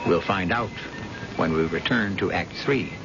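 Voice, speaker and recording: A man hosting and narrating an old-time radio suspense drama in a deliberate, theatrical manner. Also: deep voice. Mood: suspenseful. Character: dramatic male host narrating a suspense tale. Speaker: narrator and host. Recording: muffled vintage radio recording under loud music.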